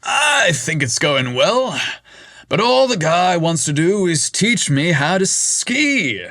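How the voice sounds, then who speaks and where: A man voicing Chad, an eager, flirty lawyer boss who talks in a quick, breezy way. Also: high voice, very animated, sharp tone, no echo, studio quality, pitched above the performer's own voice, exaggerated voice. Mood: disappointed.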